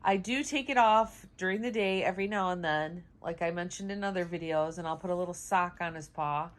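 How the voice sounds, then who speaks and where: A woman with a stuffy, nasal voice from congestion, chatting casually in a home vlog about her dogs, with many "um"s. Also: a little fast. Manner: high sing-song baby talk to her dogs. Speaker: vlogger, dog owner. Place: home, indoors.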